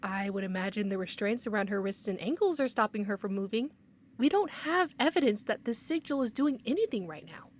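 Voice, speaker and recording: A woman's voice: medium pitch, animated, brisk, a little hiss or hum, natural voice. A woman playing a skeptical, by-the-book investigator in an audio drama, speaking precisely and argumentatively. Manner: precise, argumentative, matter-of-fact. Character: skeptical, bookish young female paranormal investigator, stubborn and by-the-book.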